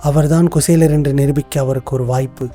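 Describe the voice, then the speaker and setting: An actor voicing a wise, cautious royal minister in a cartoon, speaking formally and in a measured way. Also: male voice, a little high, fairly even intonation, mellow, faint music behind, natural voice. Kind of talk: cartoon voice acting.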